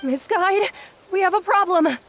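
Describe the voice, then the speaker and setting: Timid, apologetic young woman, high-ish voice, game scene. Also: a little slow, a bit bright, a little hiss or hum, heavily acted. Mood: worried. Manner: earnest, apologetic. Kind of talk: video game voice acting. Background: faint game music.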